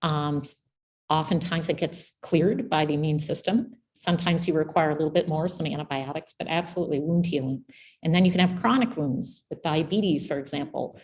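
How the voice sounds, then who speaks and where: A soft-spoken middle-aged woman with a quiet voice, giving a friendly, informal public science talk as guest speaker. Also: deep voice, a little fast.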